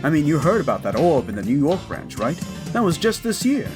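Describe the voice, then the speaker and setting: Animated, earnest ghost hunter played by a man. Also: on the high side, fairly quick, over music. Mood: defensive, rambling. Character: earnest, devout male ghost hunter with folk-religious methods. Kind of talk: audio drama acting.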